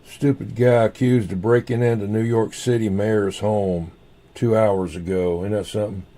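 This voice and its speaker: A man hosting a casual livestream, rambling in an unhurried way and half muttering to himself as he goes. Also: fairly low pitch, fairly even intonation, mellow. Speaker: livestream host.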